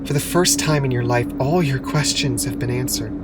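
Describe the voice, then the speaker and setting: A performer playing an intense, eerie narrator in a scripted horror audio drama, speaking dramatically and deliberately to the listener as "you". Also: mid-range voice, heavy background noise, lightly acted. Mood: rapt, eerie. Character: intense, eerie second-person narrator of a dancer's unraveling. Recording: loud music over the voice. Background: loud piano music.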